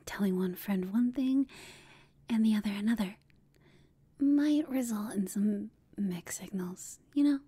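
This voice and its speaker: A woman playing a flirtatious, smug female villain who taunts an enemy in a breathy whispered ASMR roleplay. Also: mid-range voice, warm tone. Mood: flirtatious teasing. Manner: slow, teasing, smug.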